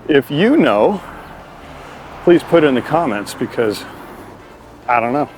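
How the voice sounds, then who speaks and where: A man's voice: mid-range voice, very animated, unhurried, a little mellow, a little hiss or hum. A man hosting a casual walking vlog outdoors, talking in an informal, rambling, stop-and-start way. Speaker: host of his own vlog. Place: outdoors on a walk by a road.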